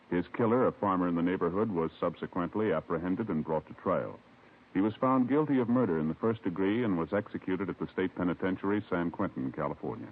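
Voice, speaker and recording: A man reading formal, solemn announcer narration in the style of 1950s radio. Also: low voice. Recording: tinny old radio recording.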